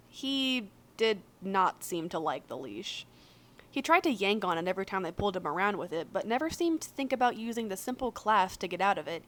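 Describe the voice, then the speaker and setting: Woman narrating fiction in a conversational way. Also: mid-range voice. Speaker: fan fiction reader. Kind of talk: expressive scripted narration.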